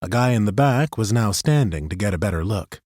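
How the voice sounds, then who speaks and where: A male narrator reading a fantasy novel aloud in a studio booth. His voice sits fairly low, and his storytelling is steady and even. The sound is dry and close to the mic. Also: fairly quick, no room sound. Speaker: audiobook narrator. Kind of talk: scripted fantasy audiobook narration.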